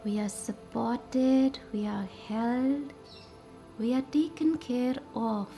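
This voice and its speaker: A woman leads a guided meditation in short, soothing, measured phrases with reverent pauses. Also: a little high, warm tone. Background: music.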